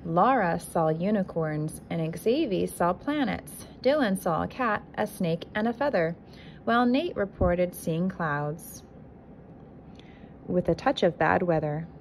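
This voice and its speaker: A woman with a slightly low voice reads a children's picture book in an expressive, deliberate teacher's way. She is recorded indoors on a phone, with clear background noise around her voice. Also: low-quality recording. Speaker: kindergarten teacher reading aloud. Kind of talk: picture book read-aloud to young children. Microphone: handheld phone filming the book.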